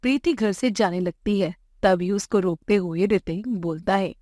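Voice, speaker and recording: A woman's voice: mid-range voice, measured pace, dark tone, no room sound, studio quality. A woman reading scripted narration for a moral bedtime story.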